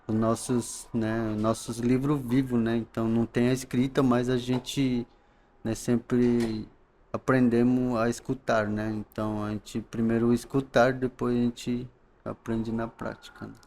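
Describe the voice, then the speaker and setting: A man from the São Paulo coast speaks as a podcast guest in a mid-range voice. He talks calmly and haltingly, often restarting a thought. He ends many phrases with a soft tag question. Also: mid-range voice, slight background noise. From São Paulo coast, Brazil. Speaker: guest, knowledge keeper. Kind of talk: podcast interview.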